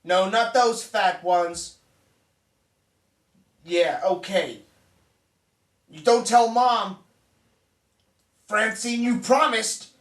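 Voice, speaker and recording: Man playing bullying spoiled heir, foul-mouthed, dark comedy drama, high-pitched male voice, distant from the mic. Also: very slow, long pauses, heavily acted. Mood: angry, taunting. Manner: profane, aggressive, ranting. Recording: roomy echo, distant microphone.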